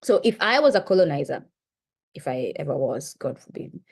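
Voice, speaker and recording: A woman's voice: sing-song, normal speed, gravelly, husky. A middle-aged Kenyan woman with a Kenyan accent, co-hosting and giving an impassioned lecture-style talk, with emphatic rises and repeated checks of "right?". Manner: emphatic, rising pitch on key points, frequent "right?". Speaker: podcast co-host presenting.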